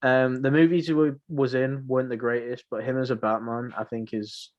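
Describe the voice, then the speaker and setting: A man's voice: medium pitch, flat delivery, a little fast. Muffled young man chatting. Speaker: podcast co-host. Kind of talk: casual pop culture podcast chat. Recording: muffled lo-fi home recording.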